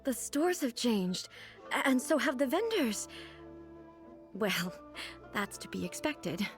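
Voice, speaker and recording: An actress playing an ageless, dignified female ruler in a video game, speaking in a formal, measured, aloof way. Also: fairly high pitch, very animated. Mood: reflective. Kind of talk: English video game voice acting. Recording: soft game music behind the voice.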